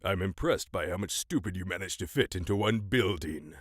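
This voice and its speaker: A man's voice: deep voice, expressive, fairly quick, dry recording, clean studio sound. A man playing a pompous ten-year-old boy genius and aspiring evil scientist in an audio drama, speaking theatrically in elaborate words. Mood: disdainful.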